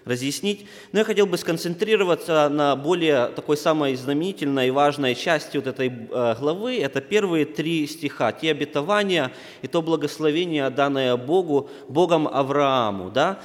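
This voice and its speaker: Slightly hoarse man teaching in a hall. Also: fairly high pitch. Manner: halting, many restarts and filler sounds. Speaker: Bible study leader. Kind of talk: informal Bible teaching.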